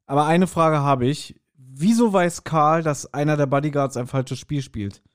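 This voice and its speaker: A man co-hosting a podcast in casual chat, telling things like an animated storyteller. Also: fairly high pitch, measured pace. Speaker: podcast co-host. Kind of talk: casual chat, speculating about an audio drama episode.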